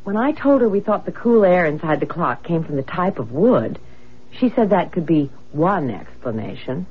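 An actress playing an anxious, spooked and insistent young wife in a radio drama. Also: female voice, lowish voice, big pitch swings, moderate pace, a little hiss or hum. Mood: defensive. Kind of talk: 1970s radio drama acting. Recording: muffled old radio broadcast with music.

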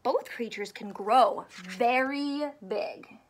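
A woman in her thirties reading a children's book aloud in an expressive, teacherly way, asking questions and drawing out key words. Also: a little high, takes it slowly. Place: small indoor room with couch and stuffed toys.

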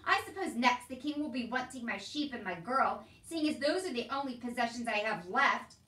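A woman's voice: on the high side, animated, measured pace, sharp tone, exaggerated voice. A poor, indignant storybook uncle, played by a woman reading aloud. He is grasping and aggrieved, given to exclaiming. The recording is echoey and a little distant. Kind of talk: storybook read-aloud character voice. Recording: roomy echo, distant from mic.

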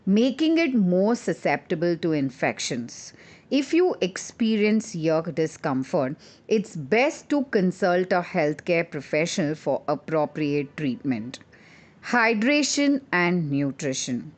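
Female podcast narrator, low register, slow measured delivery, instructional scripted read. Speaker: podcast host.

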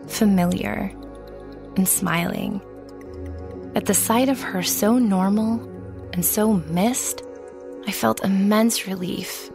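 A woman narrates dark fiction in a hushed, deliberate voice. She takes her time, leaving dramatic pauses, and her tone has a heavy creak over clearly audible music. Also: fairly low pitch. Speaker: story narrator. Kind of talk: scripted first-person dark fiction narration.

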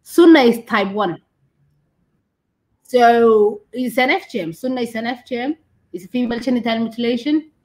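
A woman in her thirties with a Somali accent, answering as an interview guest on a video call, haltingly repeating and rephrasing. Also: fairly high pitch, a little mellow. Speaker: guest doctor and women's rights activist. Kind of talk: interview answers on a live stream. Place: curtained room indoors, on a video call. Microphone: video call device mic.

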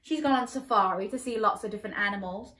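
A woman in her thirties reading a picture book aloud to young children at bedtime, animated and expressive, with playful asides to her listeners. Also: medium pitch, fast, reverberant. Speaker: children's storyteller. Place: small bedroom, indoors, seated on a bed.

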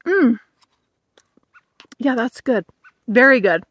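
A woman's voice: a little high, very animated, slow, fairly bright tone, slightly rough, dry recording. A woman in her thirties reviewing camping gear in a casual vlog, musing aloud while she eats, recorded outdoors in a meadow.

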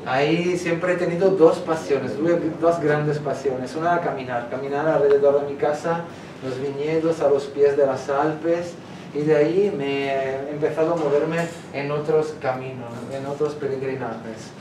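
A man's voice: fairly high pitch, fairly even intonation, unhurried, a crowd in the background. A man in his forties with an Italian accent, giving a talk in Spanish that is not his first language, gesturing and searching for words now and then. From Italy. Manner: gestures while talking, hesitant, searches for words. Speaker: guest speaker. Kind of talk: public lecture. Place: small lecture room in a civic centre. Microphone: far camera, no mic. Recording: echoey room from far off, noisy.

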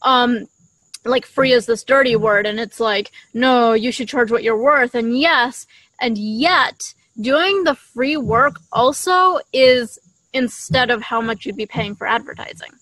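A woman's voice: fairly high pitch, measured pace, fairly bright tone, no echo, low-quality recording. A young woman co-hosting a podcast, chatting casually and earnestly as she gives advice into a shared desktop microphone outdoors. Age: young adult. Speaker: podcast co-host. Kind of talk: casual chat giving advice to creators. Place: outdoors in a leafy garden. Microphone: shared desktop condenser microphone at table distance.

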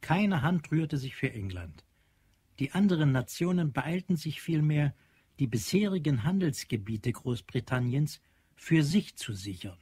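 A man narrating a radio play from a script in a measured, literary, dramatic manner. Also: fairly high pitch, big pitch swings, a little mellow, husky, no echo. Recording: dry studio with faint music.

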